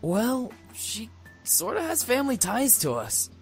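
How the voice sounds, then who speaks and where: An actor voicing a playful, boyish young man in a visual novel game, with a husky voice. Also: extremely high pitch, huge pitch swings, measured pace, soft background music, exaggerated voice. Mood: light, explaining.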